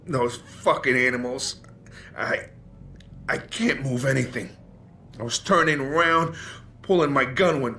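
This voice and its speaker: A bitter, foul-mouthed investigator who is badly wounded. His voice is sharp and strained, at a middle pitch, and he halts and repeats himself through the pain. It is video game drama with a faint rumble underneath. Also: gravelly, full character voice. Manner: halting, sardonic, repeating himself. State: in pain, slurring and faltering.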